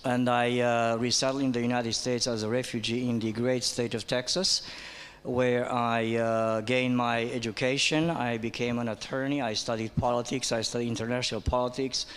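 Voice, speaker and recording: A man from Romania delivers a formal address at a conference, pausing often on ums and uhs. His voice sits at an ordinary male pitch, and it rings out with a long reverb across a large hall. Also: little pitch movement, fairly bright tone, clear, resonant tone. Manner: halting, frequent ums and uhs. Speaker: panel speaker.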